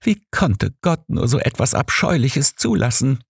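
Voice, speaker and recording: A man's voice: medium pitch, sing-song, unhurried, husky, dry recording, clean studio sound, pitched above the performer's own voice, full character voice. A man voicing a distraught, tearful landlady in an audiobook, sobbing and whimpering. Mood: sobbing, horrified. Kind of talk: audiobook character acting.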